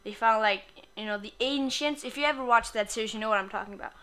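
A woman with a fairly high, bright voice commentates casually over her video game playthrough. She is playful and crude, swearing freely as she goes. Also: fast. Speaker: gamer recording a playthrough.

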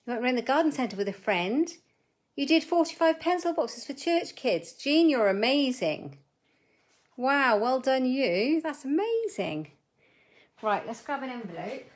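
A woman's voice: high voice, big pitch swings, a little slow. A middle-aged woman hosting a live crafting demo from her desk at home, chatting with viewers and muttering asides as she works. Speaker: papercraft host.

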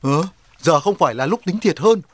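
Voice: medium pitch, expressive, moderate pace, a little mellow, lightly acted. An actor voicing a practical, talkative animal neighbour in a cartoon dub, persuading and organising the others. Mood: decisive. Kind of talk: Vietnamese children's cartoon dubbing.

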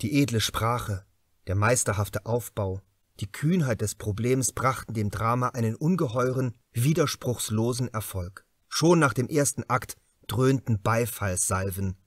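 A man narrating a crime novel as an audiobook, in measured, deliberate phrases with dramatic emphasis. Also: medium pitch, no echo, studio quality.

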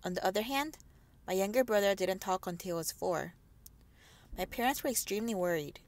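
A woman's voice: medium pitch, fairly quick. A woman in her twenties, born in South Korea, reading a scripted narration of her family's immigration story. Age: 20s. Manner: reads a prepared script steadily.

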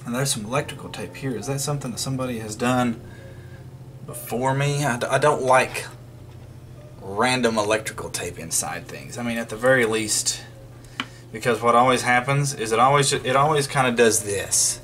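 A man's voice: medium pitch, normal speed, a bit bright, a little hiss or hum. A man giving casual, unscripted narration of hands-on repair work in a folksy way, thinking aloud. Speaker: vlogger demonstrating radio repair. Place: indoors at a wooden workbench.

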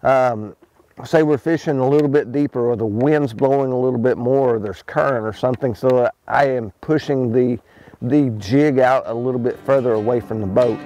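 Middle-aged male angler, outdoors on a lake boat, relaxed instructional talk with frequent fillers. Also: mid-range voice, moderate pace, dry recording, poor audio. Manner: relaxed, explains as he fishes, many ums and restarts. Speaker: fishing pro. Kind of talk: casual how-to fishing talk.

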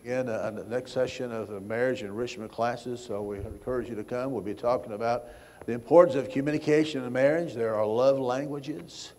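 A man's voice: mid-range voice, steady tone, a little fast, a little mellow, slight background noise. Man giving an informal church announcement.

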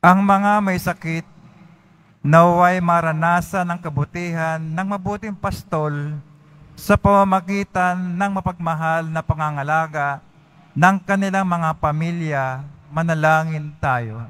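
Lector, man, fairly high clear voice, formal steady reading. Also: a little slow. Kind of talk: reading prayer intentions at mass.

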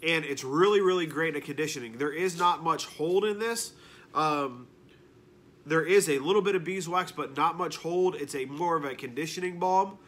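A man in his thirties speaks from a living room couch, his voice nasal and congested as he gets over a cold. He sits a little high in pitch and talks to the camera in a relaxed review style. He leans on repeated words to show his enthusiasm. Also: moderate pace. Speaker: beard product reviewer. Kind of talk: casual product review.

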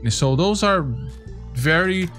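Man, slightly high voice, informal tutorial delivery, faint music behind. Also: expressive, slow pace, fairly bright tone. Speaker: tutorial host. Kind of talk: casual software tutorial. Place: small home streaming room. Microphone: close desk microphone.

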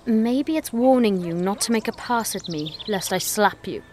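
A woman's voice: medium pitch, animated, moderate pace, slight background noise, close to an everyday voice. Woman playing a cool, proud village herbalist. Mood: guarded, haughty. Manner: cool, assertive. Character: guarded, proud herbalist woman rumoured a witch. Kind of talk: dramatic video game acting.

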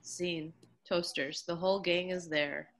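A woman's voice: lowish voice, steady tone, measured pace. A woman reading the scene directions and a small part in an amateur table read over a video call. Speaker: stage direction reader and cast member.

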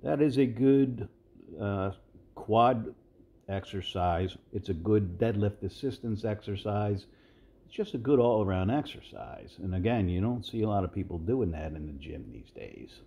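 Low, dark-voiced man in his sixties. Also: measured pace. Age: 60s. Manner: rambling, relaxed, many fillers and restarts. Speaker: fitness video host. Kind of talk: casual voice-over commentary.

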